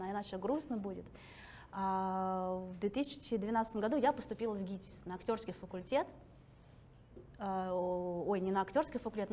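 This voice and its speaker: A woman's voice: mid-range voice, a little mellow, slight background noise. A woman in her thirties from Russia, talking casually and self-ironically to an audience in a library hall, laughing often. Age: 30s. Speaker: guest speaker.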